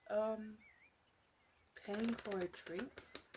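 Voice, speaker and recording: A woman acts out a teasing witch, a few hundred years old yet youthful, in an ASMR roleplay. She speaks slowly at a medium pitch, halting and coy, often slipping into a whisper. A faint noise sits behind her voice. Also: level tone. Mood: coy, fussing.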